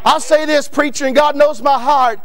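High-voiced shouting Kentucky preacher man. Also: fairly even intonation, normal speed, some chatter behind. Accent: Northern Kentucky. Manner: builds to shouting, repeats lines, addresses men in the pews by name. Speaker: guest preacher. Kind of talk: fiery Baptist sermon. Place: church sanctuary. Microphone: pulpit microphone.

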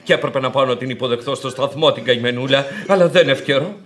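An actor playing a pompous, nervy, easily flustered bank director in a theatrical radio drama. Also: male voice, medium pitch, fairly bright tone, natural voice. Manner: pompous, flustered, stammering pet exclamations. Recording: old radio theatre recording.

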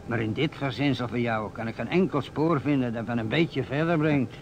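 A man's voice: medium pitch, normal speed, dull audio, lightly acted. A man acting a persistent, businesslike police inspector in a Dutch radio play, asking probing questions. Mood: dogged. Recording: old radio recording, faint noise.

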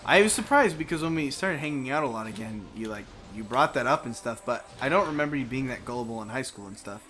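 Bright-voiced young man, teasing gamer. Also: mid-range voice, animated, fast. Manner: teasing, crude, swearing banter. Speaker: let's play co-host playing the game. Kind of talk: casual chat while gaming.

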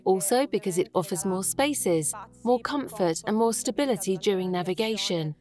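A woman giving an English voice-over translation of an interview guest, reading in a steady, even manner. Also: medium pitch, normal speed, soft background music.

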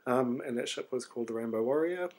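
A man's voice: mid-range voice, fast, a little mellow. Sleepy, hesitant New man. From New Zealand. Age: 30s. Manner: hesitant, many ums and restarts. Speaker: artist video diarist. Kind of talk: rambling video diary monologue.

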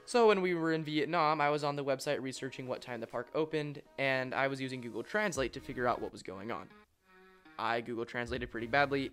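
A young man telling a travel story as a vlog voice-over, in an excited, storytelling way. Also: mid-range voice. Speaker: coaster vlogger. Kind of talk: voice-over narration. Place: voice-over, not at the park.

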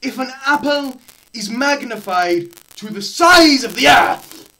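A young man gives a theatrical lecture reading in a slow, deliberate pace. His pitch leaps around widely and his delivery is melodramatic, moving between hushed murmurs and loud cries. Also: lively intonation. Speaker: presenter performing a dramatised physics lecture. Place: small plain room indoors.

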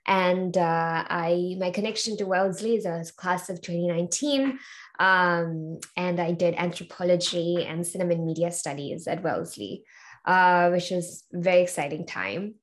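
A woman's voice: fairly low pitch, normal speed. A woman in her twenties from Sri Lanka, a guest on a video call interview, answering thoughtfully with many ums and uhs. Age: 20s. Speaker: interview guest, learning experience designer. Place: home room with bookshelves. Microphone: video call webcam.

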